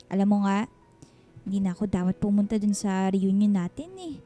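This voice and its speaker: A Filipino actress playing a reluctant, self-doubting single mother in her thirties in a radio drama, softly protesting and putting herself down. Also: medium pitch, normal speed, natural voice. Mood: regretful, insecure. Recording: music bed under the dialogue.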